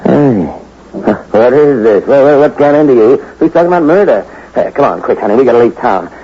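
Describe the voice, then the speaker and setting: An actor playing a hardboiled small-time thief in an old radio drama, narrating and talking in a tough, wisecracking way. The recording is dull, like an old radio broadcast. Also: male voice, medium pitch, lively intonation, a little fast, dark tone, a little hiss or hum, natural voice. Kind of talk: 1950s radio drama acting.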